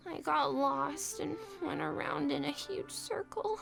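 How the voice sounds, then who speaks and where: A small, sick child from a video game, timid and melodramatic with guilt. The voice is extremely high, breathy and creaky, with faint music behind. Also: female voice, measured pace, exaggerated voice. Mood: subdued, confessing.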